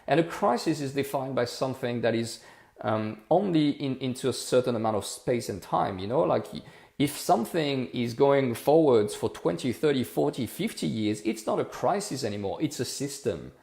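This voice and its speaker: A man's voice: medium pitch, expressive, normal speed, creaky, darkish tone, echoey room. A man of forty, talking heatedly and repeating himself on a casual livestream. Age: 40s. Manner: impassioned, repetitive, rhetorical. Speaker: livestream host.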